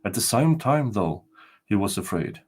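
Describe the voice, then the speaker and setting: A man's voice: lowish voice, sing-song, normal speed, slightly rough. An older man narrating a folktale as a storyteller, reading from a written text. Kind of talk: scripted folktale narration, read aloud.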